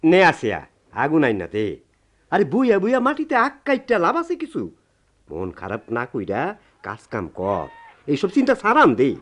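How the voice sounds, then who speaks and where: A man's voice: fairly high pitch, huge pitch swings, a little fast, fairly bright tone, full character voice. A man playing a practical, protective young villager in a radio drama, earnest, with a rural Bangladeshi accent. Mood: brisk, chiding.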